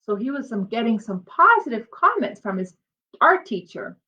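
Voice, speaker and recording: Animated, high-voiced woman reading to children. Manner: animated, drawn-out emphasis, explains to children as she goes. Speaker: teacher reading aloud to children. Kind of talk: expressive children's storybook read-aloud with asides. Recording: dull lo-fi sound.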